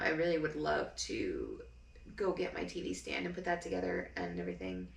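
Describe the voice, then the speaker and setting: A woman chatting casually in a rambling home vlog, her voice deep and groggy from just waking up. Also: fairly bright tone. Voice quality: deep, gravelly. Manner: rambling, chatty, full of fillers. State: groggy morning voice. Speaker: vlogger. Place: her apartment.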